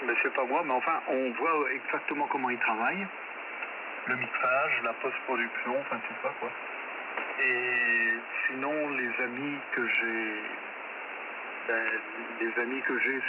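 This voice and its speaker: A man, chatting casually as an amateur radio operator over shortwave, rambling hesitantly with frequent pauses and self-corrections. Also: mid-range voice. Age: 70s. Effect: shortwave radio transmission. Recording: narrow-band shortwave radio with loud static.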